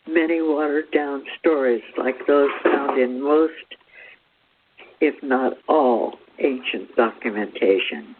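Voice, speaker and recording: Slow, higher-voiced man on a phone-like line. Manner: measured, deliberate phrasing in short pieces. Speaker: presenter. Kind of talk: slow read-out spiritual teaching. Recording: thin phone-like radio sound.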